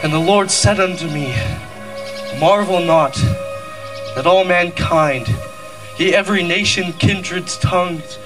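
A man's voice: high-pitched, takes it slowly. A young man playing an earnest scriptural rebel turned convert in an amateur outdoor pageant. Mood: earnest, repentant. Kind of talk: amateur stage pageant recitation. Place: outdoor stage at night. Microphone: handheld mic, filmed from audience. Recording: distant outdoor stage mic with music.

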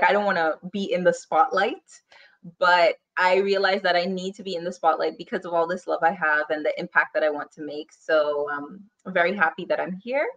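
A woman's voice: lowish voice, a bit bright. A young woman with a Guyanese accent, chatting as a podcast guest over a video call, laughing easily and rambling with fillers. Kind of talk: casual interview chat. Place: home room, indoors.

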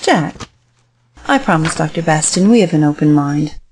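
A woman's voice: low voice, animated, normal speed, a little mellow, a little hiss or hum. A woman acting a polite, businesslike investor in an audio drama. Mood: diplomatic. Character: polite, businesslike investor smoothing things over.

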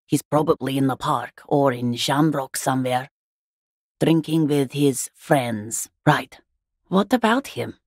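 A woman playing a weary, guarded working class wife and mother in a video game, husky, plain-spoken and exasperated. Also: extremely low pitch, slow pace, no room sound, studio quality, full character voice. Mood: weary, insistent. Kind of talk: video game character dialogue.

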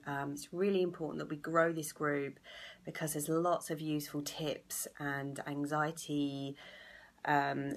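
Woman, 30s, low and croaky voice, friendly live-stream coach talking to a phone at home. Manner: chatty and encouraging, greets viewers by name. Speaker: anxiety coach hosting a live video. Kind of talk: casual live-stream talk to viewers. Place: small indoor room at home. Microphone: phone or laptop camera at arm's length.

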